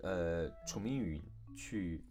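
A man's voice: low voice, expressive, slow pace, mellow, soft background music. A man speaking as a voice actor guest in a casual panel interview, reflective and emphatic as he explains his points at length.

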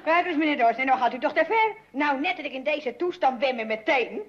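High-pitched, feisty housemaid. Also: female voice, lively intonation, a little fast, some chatter behind. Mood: indignant. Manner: indignant, folksy, talking back. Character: feisty, plain-spoken housemaid and cook, easily offended. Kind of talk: old-fashioned radio comedy acting. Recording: dull, noisy radio recording with audience.

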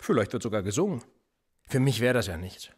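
An actor playing a police interrogator in a radio play, sardonic and menacing, needling with theatrical rhetorical questions. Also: male voice, medium pitch, very animated, a little fast, breathy edge. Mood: sly, menacing. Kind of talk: naturalistic German radio play acting.

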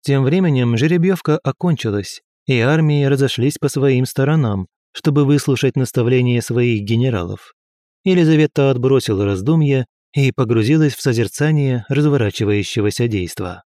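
Man narrating an audiobook, measured, dark mellow voice, dead dry sound. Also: mid-range voice, no echo. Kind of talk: scripted historical fiction narration.

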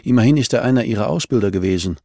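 A man's voice: mid-range voice, lively intonation, racing, vocal fry, dark tone, dry recording, clean studio sound. A man voicing a terse, guarded male investigator in an audiobook, curt and reserved.

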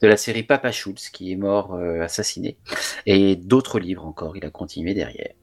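Measured man reading quiz questions. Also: deep voice. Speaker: quiz host. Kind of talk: podcast quiz chat.

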